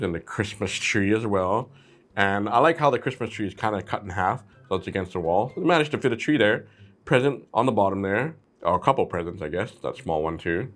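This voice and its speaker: A man hosting a casual, chatty product review, talking informally and playfully straight to his viewers. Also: low voice. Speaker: host of a brick-set review channel.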